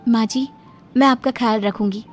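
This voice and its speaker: A woman voicing a sweet, respectful young bride in an animated moral story. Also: on the high side, normal speed, close to an everyday voice. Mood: reassuring. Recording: music behind the voice. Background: background music.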